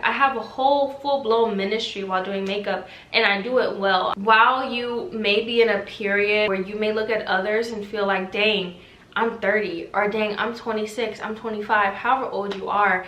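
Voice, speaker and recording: A young woman in her twenties with a bright, higher-pitched voice, chatting through a faith Q&A. She is talkative and animated and calls herself loud. She often presses the listener with rhetorical questions, and her voice rings in a roomy, echoey space. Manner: talkative and animated, self-described loud yappy voice; exhorting, rhetorical questions to viewers. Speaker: faith vlogger answering viewer questions. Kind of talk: casual faith chat, preachy Q&A. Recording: echoey room.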